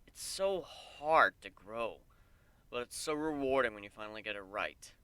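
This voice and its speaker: A man's voice: mid-range voice, lively intonation, normal speed, bright, forceful tone, clear, resonant tone, dry recording. A man playing an earnest, easygoing male pegasus in a fantasy story, talking with a mild grumble.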